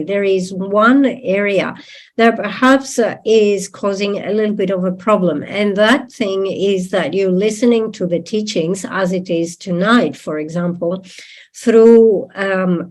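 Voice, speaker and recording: A woman whose first language is not English, interpreting a Buddhist teaching in a deliberate manner and checking in with listeners. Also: medium pitch, darkish tone. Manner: deliberate, with tag questions to listeners.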